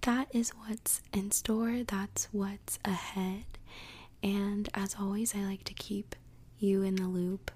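Slow, whispering ASMR woman. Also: mid-range voice, little pitch movement. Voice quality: breathy whispered voice. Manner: gentle, slow, unhurried delivery. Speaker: podcast host. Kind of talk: soft-spoken ASMR, whispering.

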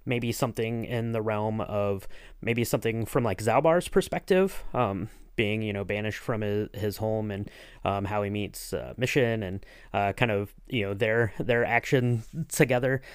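A man hosting a podcast in casual chat, talking in a halting, rambling way. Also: fairly low pitch, smooth, no echo, clean studio sound. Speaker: podcast host and interviewer.

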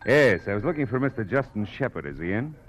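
A man's voice: lowish voice, lively intonation, fast talker, close to an everyday voice. A man acting a tough, wry private detective in a 1940s American radio drama, clipped and dryly sarcastic. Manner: clipped hardboiled delivery, dry sarcasm. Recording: muffled vintage radio recording with music.

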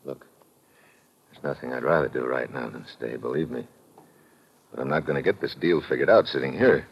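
An actor with an American accent playing a tough, world-weary, wisecracking adventurer in a 1950s radio drama, terse and sardonic. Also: male voice, rumbling low, fairly quick, vocal fry, slightly rough, full character voice. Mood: low, steady. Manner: hard-boiled, terse, sardonic. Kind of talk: 1950s radio drama acting, first-person noir narration. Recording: dull vintage radio recording with music.

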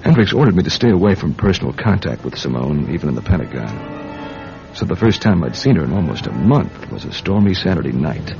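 An actor playing a hard-boiled American intelligence agent in a radio drama, narrating and talking tersely. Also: male voice, sits low, big pitch swings, fairly quick, creaky, exaggerated voice. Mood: measured, grave. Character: American intelligence agent narrating his own case, tense, smitten. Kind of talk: radio drama acting, first-person narration. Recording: dull radio drama recording, music underneath.

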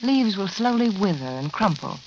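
A woman delivering a scripted 1940s radio advertisement as the show's hostess, measured, intimate and persuasive. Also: medium pitch, sing-song. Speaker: radio hostess and sponsor spokeswoman. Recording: muffled, noisy vintage radio recording.

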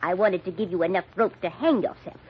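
Bitter bossy american villainess, bit raspy, old time radio mystery, dull lo-fi sound. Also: female voice, on the low side, a little fast. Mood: cool, menacing. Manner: commanding, sardonic. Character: bitter, scheming older woman, a cornered murderer.

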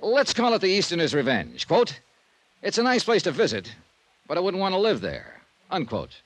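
A man's voice: high-pitched, huge pitch swings, normal speed, heavily acted. An American actor playing a hard-boiled, sardonic insurance investigator who narrates his case in clipped 1950s radio style. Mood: wry, brisk. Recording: vintage radio recording with music.

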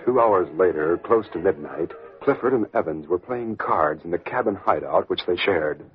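Low guy narrating an old time radio show, dull lo-fi recording, bit of music behind. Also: expressive, moderate pace. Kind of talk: 1940s radio drama narration.

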